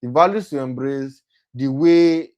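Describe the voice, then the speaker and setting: A man's voice: medium pitch, a little slow, a little mellow. A young man hosting a motivational session for young people. He often repeats himself, corrects himself as he goes, and keeps checking in with "right?". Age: young adult. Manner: repetitive, self-correcting, often asks "right?". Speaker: program host and speaker.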